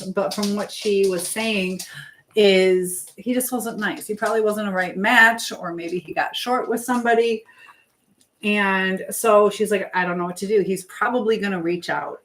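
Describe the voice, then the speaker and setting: A woman's voice: medium pitch, unhurried. A middle-aged woman hosting a casual livestream, rambling and thinking aloud as she answers viewer comments. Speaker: livestream host. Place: at home while preparing food. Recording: clearly noisy livestream with clicks.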